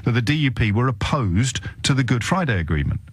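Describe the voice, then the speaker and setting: A man's voice: medium pitch, big pitch swings, a little fast, slightly rough, dry recording. Impassioned male talk radio host. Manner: impassioned, indignant, emphatic repetition. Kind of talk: opinionated talk radio monologue. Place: radio studio. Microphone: studio microphone.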